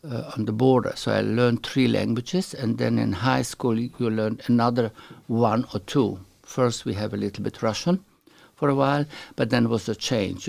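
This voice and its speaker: A middle-aged man from Slovenia with a Slovenian accent, a native Slovenian speaker, chatting as an interview guest and often pausing to search for English words. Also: mid-range voice, vocal fry, a little mellow. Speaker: guest artist. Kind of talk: casual interview chat.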